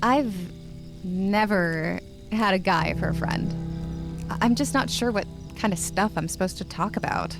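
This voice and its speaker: A woman's voice: mid-range voice, measured pace, lightly acted. An actress playing an inquisitive, candid young woman in an audio drama, with a direct, probing way of talking. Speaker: actor. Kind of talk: naturalistic audio drama dialogue. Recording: music playing behind the voice.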